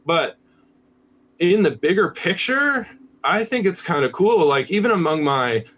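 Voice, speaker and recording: A man's voice: high-pitched, sing-song, a little slow, a bit bright. An American man talking casually as a podcast guest, candid and laid-back, swearing freely. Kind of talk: casual conversational interview.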